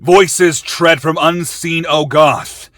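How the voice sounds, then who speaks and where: A man's voice: on the high side, fairly even intonation, takes it slowly, fairly bright tone, dry recording, studio quality, pitched above the performer's own voice. A man voicing a sinister, fanatical warlock cult leader who preaches in a grandiose, ritual way for a fantasy audio story. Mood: fervent, exultant.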